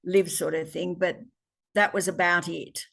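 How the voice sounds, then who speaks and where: A woman in her seventies with an Australian accent, recalling her family's past in an interview, pausing often with ums. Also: lowish voice, fairly even intonation, creaky, fairly bright tone. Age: 70s. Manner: hesitant, many ums, reflective. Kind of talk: oral history interview.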